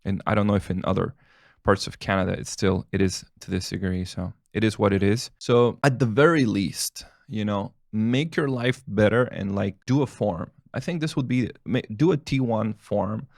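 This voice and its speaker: A man's voice: lowish voice, moderate pace, vocal fry, dry recording, clean studio sound. A man in his thirties, a family physician and native Spanish speaker, talking casually and rambling, with restarts and chuckles. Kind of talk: casual talk to camera, answering questions.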